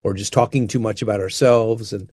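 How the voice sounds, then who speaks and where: A man's voice: on the low side, fairly even intonation, a little fast, a little mellow, breathy edge, dry recording, clean studio sound. An older man talking earnestly and unhurriedly about marriage, often restarting his phrases. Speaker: guest speaker on marriage. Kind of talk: reflective conversational talk.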